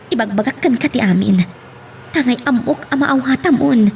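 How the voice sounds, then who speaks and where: High-pitched, hurt and protective Ilocano mother played by an actress, pleading radio-drama delivery, noisy recording. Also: normal speed, a little mellow, recorded from a distance, close to an everyday voice. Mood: upset, reproachful. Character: hurt, protective single mother, abandoned by her lover. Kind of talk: Ilocano radio-style love drama acting.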